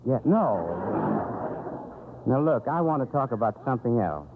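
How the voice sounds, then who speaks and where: A man's voice: medium pitch, sing-song, moderate pace, a little hiss or hum. A man playing the straight man in a 1930s radio comedy, explaining things with patient exasperation. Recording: tinny 1930s radio recording, music.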